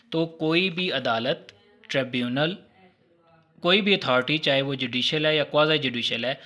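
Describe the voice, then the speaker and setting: A man's voice: on the high side, sharp tone. A man with a Pakistani accent lecturing on law in a didactic but conversational way, mixing in English terms and checking that listeners follow. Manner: didactic, conversational, checks understanding with tag phrases; mixes English legal terms into speech. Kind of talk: explanatory exam lecture with hypothetical examples.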